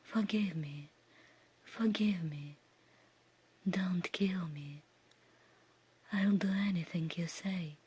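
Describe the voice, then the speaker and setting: Woman whispering as a humble, breathy merchant father. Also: fairly low pitch, slow, long pauses, a little mellow, heavily acted. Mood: pleading, fearful. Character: frightened merchant father, pleading and grateful. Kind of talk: whispered ASMR storybook reading.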